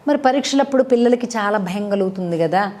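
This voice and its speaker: A woman's voice: medium pitch, expressive, quick pace, a little mellow. A woman gives a devotional discourse with moral storytelling, teaching conversationally and putting rhetorical questions to her listeners. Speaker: spiritual speaker and storyteller.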